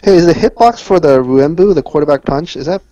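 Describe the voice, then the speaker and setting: A man's voice: on the high side, expressive, a little fast, dark tone, no echo, muffled recording. A man in a casual gaming discussion, inquisitive and checking what others mean.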